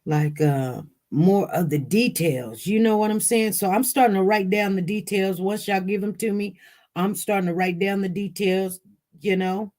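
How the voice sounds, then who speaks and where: A live-stream host chatting casually, talking loudly and speaking straight to the viewers in the chat. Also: medium pitch, moderate pace. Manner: chatty, addresses the chat directly, loud and animated. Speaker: livestream host.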